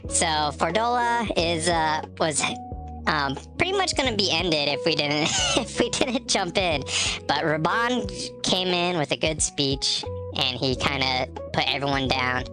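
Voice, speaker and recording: A woman with a notably low voice, nasal and stuffed up from allergies, chatting loosely with her live-stream viewers. She laughs easily and greets people by name between bits of rambling talk. Clear music plays underneath her. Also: expressive, unhurried. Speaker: game streamer. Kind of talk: casual live-stream chat.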